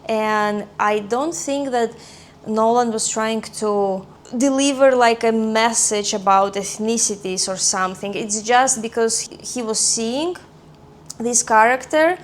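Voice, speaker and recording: A woman's voice: fairly high pitch, slow pace, a little hiss or hum. Greek woman chatting in a casual vlog. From Greece. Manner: chatty, thinking aloud with fillers and small restarts. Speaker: vlogger.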